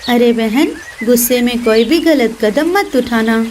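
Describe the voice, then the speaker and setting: A woman plays a plucky mother sparrow from a children's cartoon. The voice is high, earnest and pleading, protective of her chicks. Clear noise sits behind the recording. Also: measured pace, darkish tone, close to an everyday voice. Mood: firm counsel. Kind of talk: Hindi children's cartoon dialogue.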